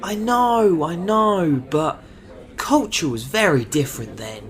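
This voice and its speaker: A man's voice: fairly high pitch, animated, slow pace. An actor playing a stern team leader who gives orders firmly and protects his people. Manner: firm, authoritative, swears when angry.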